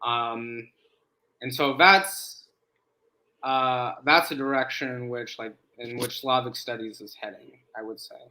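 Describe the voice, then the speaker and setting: A man in Slavic studies, a guest on an interview, explaining at length in a measured way with many "um"s. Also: mid-range voice, fairly even intonation, bright, forceful tone, low-quality recording. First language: English. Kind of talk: casual interview chat.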